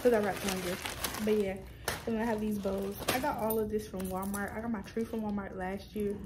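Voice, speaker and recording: A young woman talks straight to her camera in a small room at home, vlogging in a loose, chatty way. She wanders off track and corrects herself often. Her pitch sits in the middle, and steady background noise runs under the recording. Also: a little fast. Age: young adult. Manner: rambling, self-correcting, chatty with viewers. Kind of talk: casual chat to camera.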